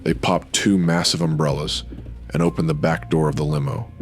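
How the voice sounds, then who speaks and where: A man's voice: rumbling low, level tone, moderate pace, soft background music. A man delivering scripted noir radio drama narration in a brooding, dramatic manner.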